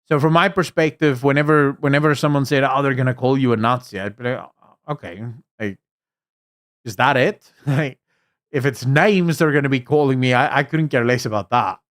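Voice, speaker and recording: A man's voice: mid-range voice, moderate pace, fairly bright tone. A young adult Afrikaans man from South Africa with a mixed accent, hosting a live stream, reading chat and giving emphatic opinions with fillers and restarts. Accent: Afrikaans South African, mixed with American and British. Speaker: livestream host reading chat. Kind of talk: casual live chat commentary.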